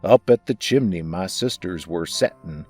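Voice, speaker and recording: A man's voice: sits low, expressive, moderate pace, a little mellow, slightly rough, soft background music. A man voicing a big brother farm pony who tells a rhyming holiday story. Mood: calm storytelling.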